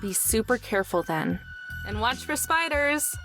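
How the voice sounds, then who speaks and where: A woman's voice: on the high side, very animated, normal speed, rough recording. Dry, guarded young woman. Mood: practical, cautious. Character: dry, guarded young woman, teasing but soft underneath. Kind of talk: visual novel voice acting. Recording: faint music under the voice. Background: game music.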